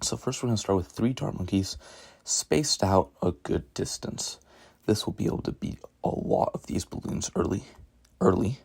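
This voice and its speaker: A man with a rough voice narrating a video game strategy guide, explaining step by step in a casual, joking way. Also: fairly low pitch, moderate pace, a little mellow, dry recording. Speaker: gaming tutorial narrator. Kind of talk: gameplay commentary voice-over.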